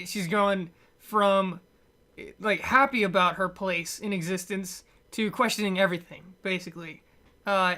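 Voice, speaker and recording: A man talking off the cuff in a casual movie review, halting and stumbling over his words, with wry, dismissive asides. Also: high voice, pushed and bright, smooth. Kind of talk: casual unscripted movie rant.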